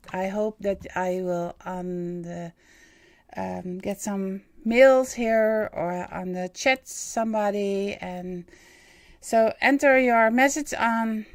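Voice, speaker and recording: A woman in her fifties with a Dutch accent, hosting a livestream in halting English and pausing often to search for words. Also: mid-range voice, vocal fry, no room sound, clean studio sound. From Netherlands. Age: 50s. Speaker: livestream host and medium. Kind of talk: hesitant livestream monologue.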